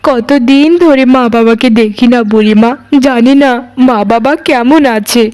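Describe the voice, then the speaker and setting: A woman voicing a humble, gentle young village girl in a cartoon folk tale, earnest in her speech. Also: on the high side, fairly even intonation, unhurried, clear, resonant tone, lightly acted.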